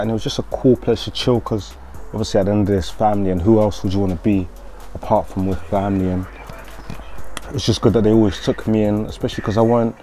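A young man with a London accent, talking casually in slang and swearing, recorded outdoors with a clip-on mic. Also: fairly low pitch. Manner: slangy, swearing, chatty, shout-outs. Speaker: vlogger. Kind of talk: casual walk-and-talk vlog. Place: outdoors on streets by a school, then a garden patio.

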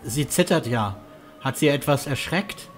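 A man playing a concerned, sceptical huntsman guide in a fantasy audio drama, with a measured, questioning manner. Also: mid-range voice, animated, soft background music, lightly acted. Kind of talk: fan-made fantasy audio drama acting.

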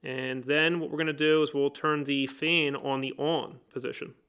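A man with a somewhat raised, smooth and clear voice teaches new technicians at a workbench. He explains each step in plain words and links the steps with "so" and "now.". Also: a little high, fairly even intonation, normal speed, fairly bright tone. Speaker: HVAC trainer. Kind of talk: hands-on technical demonstration. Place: indoor workbench.

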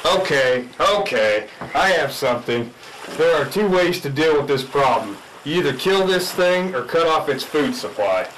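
A man's voice: a little high, animated, moderate pace, a bit bright. Blunt, earnest young scientist for radio spoof male actor, insistent, noisy ambient track. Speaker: amateur radio drama actor. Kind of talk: spoof radio drama acting.

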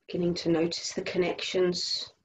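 Low-voiced woman guiding a meditation. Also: monotone, dull audio. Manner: gentle instructing, unhurried pauses between short phrases. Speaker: meditation and yoga teacher. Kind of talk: guided body scan meditation.

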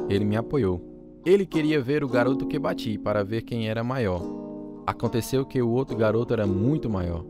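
A man's voice: medium pitch, close to an everyday voice. A man playing a grown man soberly recalling his youth, narrating in a radio drama. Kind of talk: scripted first-person narration.

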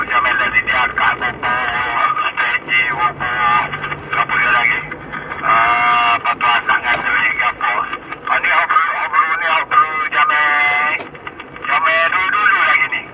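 A Malaysian man talks casually over a two-way radio link. His mid-pitched voice sounds far from the microphone, with very loud vehicle noise around it. He speaks as an amateur radio operator in an informal exchange. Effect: two-way radio transmission.

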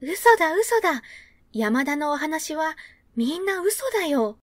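A woman doing a high-voiced little girl. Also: lively intonation, takes it slowly, a bit bright, no echo, studio quality, full character voice. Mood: indignant. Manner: high childlike voice, plaintive. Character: sweet little girl, kind and outspoken.

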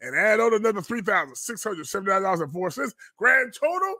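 A man delivers commentary in a preacher's cadence. His voice is bright and forceful, recorded dry and close. He sounds indignant and emphatic, hammering phrases by repeating them. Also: high-pitched, sing-song, quick pace, no echo. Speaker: pastor and online show host. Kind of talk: fiery live-stream commentary, preacher's cadence.

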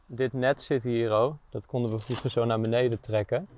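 Relaxed Dutch man touring his van. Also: mid-range voice, fairly quick, slight background noise. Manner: relaxed, hesitant with many fillers, laughs often. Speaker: van dweller giving a tour. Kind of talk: casual tour chat. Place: inside a small camper van, outdoors by it.

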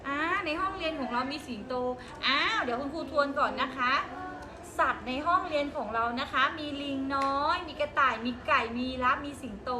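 Woman preschool teacher, high voice, patient prompting, roomy and noisy. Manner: patient, coaxing questions to small children. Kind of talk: guiding young children to make up a story. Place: classroom.

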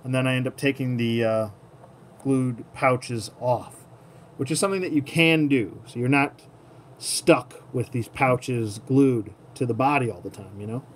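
A man's voice: mid-range voice, a little slow. A man giving a casual hands-on tutorial as a hobby host, at times murmuring to himself as he works. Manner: murmurs to himself while working with his hands. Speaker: toy collector host. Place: indoor tabletop setup. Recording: clearly noisy room ambience.

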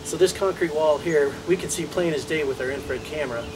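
A man's voice: on the high side, fairly quick. A man in his thirties giving a home improvement walkthrough outside a house and in a basement, explaining step by step and correcting his own slips. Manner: explanatory, trips over words then corrects. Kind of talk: instructional walkthrough. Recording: roomy, distant, faint background hiss.

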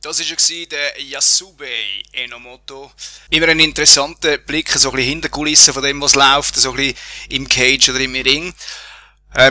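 A man hosting a podcast, slightly hoarse, relaxed and talking with many fillers and repeated words. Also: medium pitch. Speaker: podcast host. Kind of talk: casual interview and show outro.

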